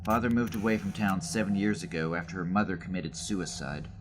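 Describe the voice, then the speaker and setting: An actor playing a matter-of-fact junior investigator reporting grim findings in an audio drama. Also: male voice, deep voice, steady tone, brisk, heavy background noise, lightly acted.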